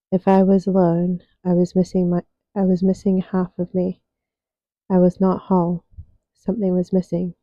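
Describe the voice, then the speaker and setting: A young woman reading her own fiction aloud as soft ASMR narration, unhurried, with deliberate pauses. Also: lowish voice, dry recording, dull audio.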